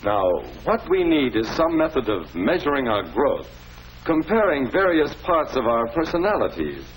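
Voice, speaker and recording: A man's voice: mid-range voice, big pitch swings, moderate pace, natural voice. An actor with an American accent playing a patient, fatherly schoolmaster who explains things in a 1940s educational film. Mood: patient, instructive. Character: patient, avuncular male school principal or teacher. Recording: noisy old 1940s film soundtrack.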